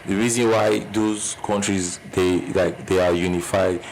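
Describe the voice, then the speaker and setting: A man's voice: deep voice, fairly even intonation, a little slow, gravelly, a little mellow, a little hiss or hum, poor audio. A man with a Nigerian accent, co-hosting a casual podcast chat and repeating phrases as he builds his point. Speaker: podcast co-host.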